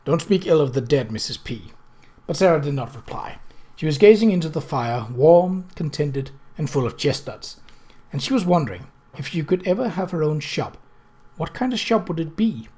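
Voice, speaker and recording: Measured storybook narrator, medium pitch. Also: sing-song, dark tone. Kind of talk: scripted audiobook narration.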